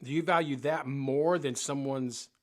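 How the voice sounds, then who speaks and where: A man's voice: medium pitch, steady tone, a little slow. A man co-hosting a casual church podcast, talking earnestly with some joking and thinking aloud. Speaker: podcast co-host.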